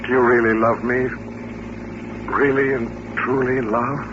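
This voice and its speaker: An actor playing a bitter, lonely mutant with hypnotic powers in an old-time radio drama, intense and commanding. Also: male voice, medium pitch, level tone, a little slow, lo-fi sound, natural voice. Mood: tender, guarded. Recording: noisy, band-limited vintage radio recording.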